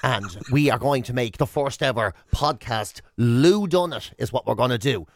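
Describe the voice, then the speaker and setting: A man's voice: medium pitch, normal speed, no echo. An Irish man co-hosting comedic radio banter, telling stories in a mock-earnest way. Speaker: radio show co-host. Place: radio studio.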